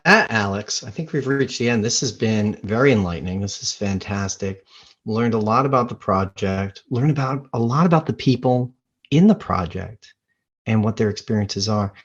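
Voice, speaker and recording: A man's voice: lowish voice, moderate pace. A man hosting a podcast interview, responding with brief encouraging remarks. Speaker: podcast host. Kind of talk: casual interview chat. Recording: dull lo-fi sound.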